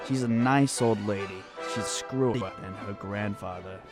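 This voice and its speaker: A man's voice: on the low side, unhurried, music in the background, natural voice. A man playing a gossipy, folksy small-town local in a radio drama, chatting colloquially with amusement. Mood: amused, gossipy.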